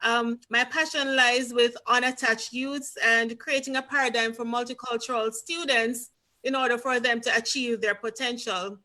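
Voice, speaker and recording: Brief: Jamaican woman educator, bright and a little high, composed and formal. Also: steady tone, normal speed. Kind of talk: self-introduction on a video call. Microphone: video call.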